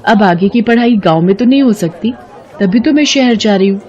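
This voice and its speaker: A woman's voice: mid-range voice, lively intonation, brisk, mellow, lo-fi sound, a faint crowd, lightly acted. A woman voicing an earnest, troubled young female student in a story, speaking emotively. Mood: tearful pleading. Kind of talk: dramatized story dialogue.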